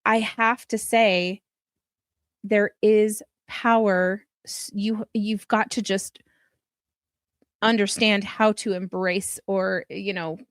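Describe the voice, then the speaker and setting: A woman's voice: medium pitch, fairly even intonation, takes it slowly, no echo, studio quality. A middle-aged woman hosting a podcast, speaking casually, affirming her guest and telling personal stories with frequent laughter. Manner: warm, affirming, laughs often, storytelling. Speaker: podcast host. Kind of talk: casual interview conversation.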